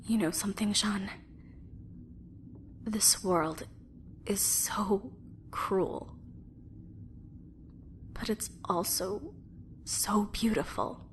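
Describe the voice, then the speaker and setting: A woman playing a solemn, tender young soldier in a fan anime drama, speaking softly and breathily, often near a whisper. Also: medium pitch. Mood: wistful. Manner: measured, soft-spoken. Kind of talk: fan dub anime parody acting. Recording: faint background noise.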